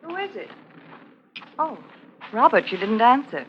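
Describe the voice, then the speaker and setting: An actress playing a long-suffering, anxious wife in a 1950s radio suspense drama, pleading in a restrained, dramatic manner. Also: female voice, fairly high pitch, big pitch swings, measured pace, dull audio. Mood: concerned, meek. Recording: very noisy, crackly 1950s radio recording.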